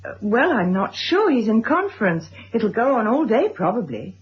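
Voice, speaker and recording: Kindly peacemaking mum in a radio drama, raspy mid voice, dull old recording. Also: female voice, a little high, sing-song, normal speed, full character voice. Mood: polite, evasive. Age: middle-aged. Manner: gentle, considerate. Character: gentle, solicitous mother and wife, self-effacing peacemaker.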